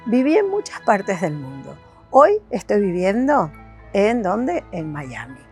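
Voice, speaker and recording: A woman's voice: mid-range voice, wildly swooping pitch, slow, a little mellow, faint music behind. A woman with a Buenos Aires accent hosts as a life coach, chatting casually and directly to the viewer in a rambling way with questions thrown in. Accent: Argentine, Buenos Aires. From Argentina, Buenos Aires. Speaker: life coach and video host. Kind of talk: casual chat to camera.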